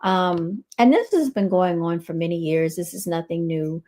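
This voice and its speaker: A woman's voice: lowish voice, normal speed, mellow. An American woman hosting a reaction show, giving casual, opinionated commentary and repeating her points for emphasis.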